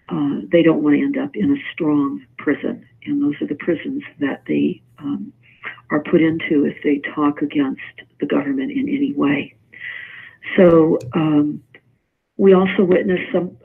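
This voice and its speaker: A man speaking as a guest in a video call roundtable, halting and filling pauses often. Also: fairly high pitch, gravelly, breathy edge. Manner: halting, lots of uh and um. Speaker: panel guest. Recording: tinny phone-like call line.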